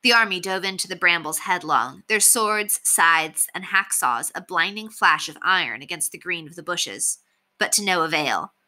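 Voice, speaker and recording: Brief: female story reader, slightly low pitch, very bright crisp tone, expressive children's book narration. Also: normal speed. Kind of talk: expressive read-aloud narration of a children's novel.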